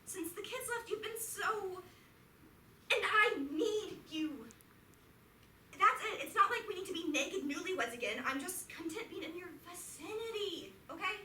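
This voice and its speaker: A woman's voice: extremely high pitch, animated, normal speed, pushed and bright, slight background noise, exaggerated voice. A woman reading the part of a frank, affectionate middle-aged wife in a staged play reading. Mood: exasperated, tender. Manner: earnest stage reading, rising to exasperated outbursts. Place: theatre auditorium. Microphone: distant stage pickup. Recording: echoey theatre taped from far off.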